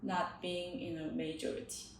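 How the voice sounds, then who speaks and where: A woman's voice: fairly low pitch, little pitch movement, normal speed, reverberant, slight background noise, rough recording. A young woman whose first language is Korean, co-hosting a casual podcast chat with many "like" fillers. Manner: chatty, frequent "like" fillers. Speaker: podcast co-host.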